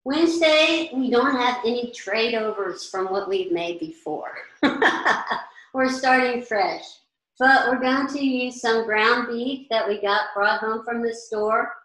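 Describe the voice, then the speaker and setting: A woman's voice: a little high, a bit bright. An older woman chef in her sixties, teaching meal planning in a chatty, practical way in a large kitchen. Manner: chatty, practical, standing and gesturing at boards. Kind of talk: informal cooking class talk. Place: large indoor commercial kitchen. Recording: echoey kitchen room sound.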